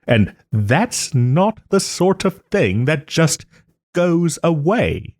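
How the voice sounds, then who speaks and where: A man playing a haughty but tender wronged nobleman in a romantic audio roleplay, speaking theatrically with formal, old-fashioned phrasing and hesitant pauses. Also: fairly high pitch, very animated, a little mellow, dry recording, studio quality, heavily acted. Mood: measured, reluctant. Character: wronged young nobleman, haughty, wounded, still tenderly caring.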